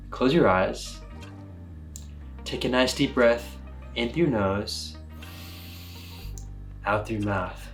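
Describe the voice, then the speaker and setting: A young man, a meditation coach, hosting a reaction video, energetic in the intro and calm when guiding breathing. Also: lowish voice.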